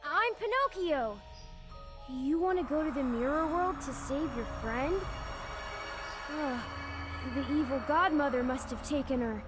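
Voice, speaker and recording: A young puppet boy in a game cutscene. The voice is very high and swings widely in pitch, with an unhurried delivery and music underneath. The boy sounds earnest and childlike. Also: animated. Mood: eager, helpful. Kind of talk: video game cutscene voice acting.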